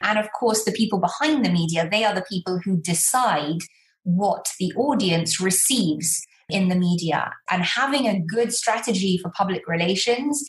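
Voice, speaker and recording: A woman's voice: mid-range voice, expressive, normal speed. A woman with a British accent, speaking as an expert guest and explaining things conversationally in an interview. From Malta, born in southern England. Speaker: guest public relations expert. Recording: echoey room sound.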